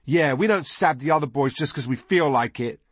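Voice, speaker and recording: Man voicing an excitable, righteous young boy. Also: a little high, moderate pace, husky, no echo, voice pushed up. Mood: indignant. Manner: childlike, excitable, unschooled speech. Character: eager, squabbling young boys, twin brothers, bloodthirsty and righteous.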